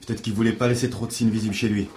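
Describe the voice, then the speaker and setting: An actor playing a wry, cautious police partner in naturalistic audio fiction, with dry, deadpan teasing. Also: male voice, on the low side, racing, natural voice. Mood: concerned. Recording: roomy echo, clear background noise.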